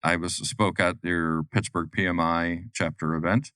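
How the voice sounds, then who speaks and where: A man's voice: sits low, level tone, moderate pace, pushed and bright, clean tone, no echo, clean studio sound. A man hosting a business podcast, asking questions in a friendly, joking way. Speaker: podcast host. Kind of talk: casual interview chat.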